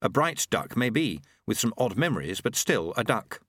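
A man voicing a brisk, knowing, sardonic woman in an audiobook, with a dry, sarcastic manner. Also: lowish voice, very animated, fairly bright tone, no room sound. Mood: explaining briskly. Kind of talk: audiobook character acting.

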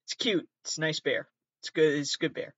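A man with a fairly high voice gives loose, off-the-cuff reaction commentary. He is sardonic and sweary, often half talking to himself. The sound is slightly dull and lo-fi. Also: a little fast, fairly bright tone, no room sound. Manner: sardonic, sweary asides and muttered self-talk. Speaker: host. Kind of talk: casual rambling reaction commentary, reading aloud from web articles.

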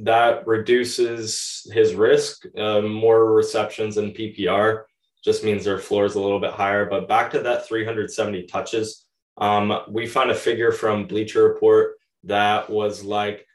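A young man who sounds a little stuffed up, co-hosting a podcast with casual fantasy football analysis. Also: fairly low pitch. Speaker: podcast co-host. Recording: roomy echo, distant from mic.

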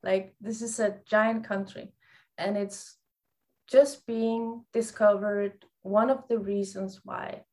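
Soft-spoken Greenlandic-accented woman. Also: mid-range voice, flat delivery. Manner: soft, thoughtful, with pauses and fillers. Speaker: guest psychologist. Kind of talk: interview answers.

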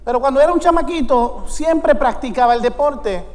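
High-voiced Puerto Rican preacher in his fifties. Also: normal speed. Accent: Puerto Rican Spanish. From United States. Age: 50s. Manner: preaching with call-and-response to the congregation. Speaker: pastor. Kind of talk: sermon. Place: church sanctuary. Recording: long reverb of a large church hall.

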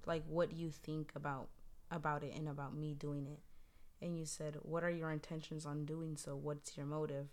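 A woman with a low voice chatting casually as a podcast guest, recounting an earlier conversation. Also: little pitch movement, moderate pace, a little mellow.

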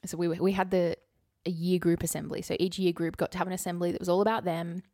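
A woman of about thirty tells stories casually as a podcast co-host. Her voice sits a little low and often drops into vocal fry, and the sound is close and dry. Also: flat delivery, quick pace, mellow, no room sound. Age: late 20s to early 30s. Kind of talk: casual chatty storytelling.

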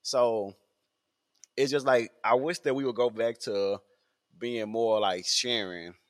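A man's voice: mid-range voice, fairly even intonation, takes it slowly, a bit bright. A man, talking in a rambling casual podcast monologue full of fillers and repeats. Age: 20s.

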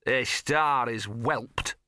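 A man's voice: mid-range voice, unhurried, sharp tone, dry recording, clean studio sound. A man voicing a cynical, streetwise little mongrel dog with a sardonic, muttering, withering manner. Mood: bitter, withering.

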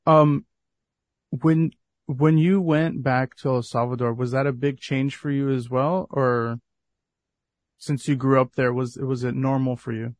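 A woman hosting a podcast interview, encouraging her guest with short warm affirmations. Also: a bass voice, flat delivery, a little slow, dry recording. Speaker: podcast host. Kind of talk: casual interview conversation.

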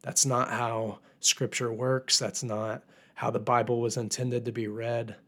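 A young man talks through his solo podcast, his voice hoarse after being sick. He speaks in an earnest, casual way, often pausing on ums and uhs as he works through his points. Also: medium pitch, little pitch movement, a bit bright. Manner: casual, filler-heavy, earnest. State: hoarse from recent illness. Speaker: podcast host.

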